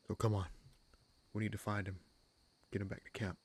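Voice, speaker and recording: A man playing a gruff but caring human bandit leader in a fantasy audio roleplay, wry and protective, with informal, halting phrasing. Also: little pitch movement, darkish tone. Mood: steady, concerned. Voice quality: gravelly, husky low voice.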